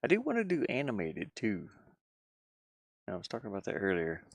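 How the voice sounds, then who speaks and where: Male game dev streamer, gravelly, very dry close sound, hesitant off-the-cuff commentary. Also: lowish voice, huge pitch swings, fairly quick, no echo. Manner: rambling, musing, hesitant. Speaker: game developer live streamer. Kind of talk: casual live stream commentary, thinking aloud.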